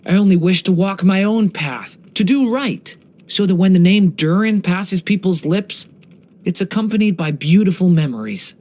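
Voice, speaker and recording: A young man voicing a gentle, earnest dragon boy for a video game, polite and slightly hesitant. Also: high-pitched, lively intonation, normal speed. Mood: earnest, reflective. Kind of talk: video game character voice lines.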